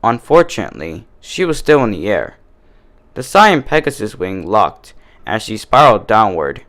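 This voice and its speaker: A man narrates a story in a lively voice with plenty of pitch movement. He reads scripted prose aloud as a fan fiction reader. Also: moderate pace.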